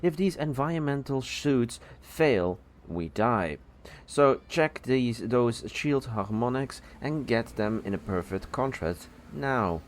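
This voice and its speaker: A man voicing a stern, urgent female starship officer in an audio drama, giving forceful clipped commands. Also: medium pitch, animated, slow pace, slight background noise, voice pushed up.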